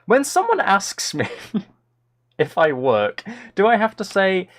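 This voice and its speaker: Young male streamer, rambling and self-deprecating, with a bouncy, animated delivery. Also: sits high, takes it slowly. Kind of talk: casual live-stream chat while gaming.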